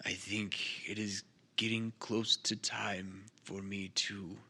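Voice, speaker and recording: A man voicing a weak, grave old lizardfolk elder in a tabletop game, with a whispery rasp and halting, solemn delivery. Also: lowish voice, level tone, sharp tone, full character voice.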